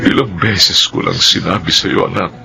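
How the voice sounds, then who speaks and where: Gravelly, earnest older father. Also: low voice, steady tone, moderate pace. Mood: consoling, earnest. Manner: earnest, gently admonishing. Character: devout, consoling older father. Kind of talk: Filipino radio drama acting. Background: prominent background music.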